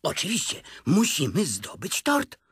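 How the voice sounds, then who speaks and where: A man doing a fussy, husky grumbling rabbit. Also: fairly high pitch, huge pitch swings, unhurried, a bit bright, no echo, clean studio sound, pitched above the performer's own voice, full character voice. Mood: fussy, bossy. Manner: muttering, fussy. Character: bossy, grumbling, cautious male garden rabbit. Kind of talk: children's storybook character voice.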